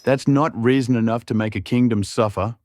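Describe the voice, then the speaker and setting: A man's voice: mid-range voice, brisk, darkish tone, slight background noise, lightly acted. A man voicing a righteous, hot-headed male survivor in a fairytale audiobook, confrontational and accusing. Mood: outraged demand.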